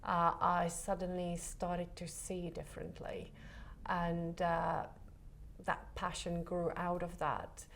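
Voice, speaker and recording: A woman from the Republic, a photographer guest talking in a relaxed interview, soft and hesitant with many pauses. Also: lowish voice, gravelly, a little hiss or hum. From Czech Republic.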